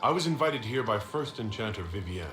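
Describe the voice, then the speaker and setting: An actor playing a composed, courteous male leader in a fantasy video game, with a measured, polite manner. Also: lowish voice, animated, fairly bright tone, roomy sound, soft background music. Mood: calm.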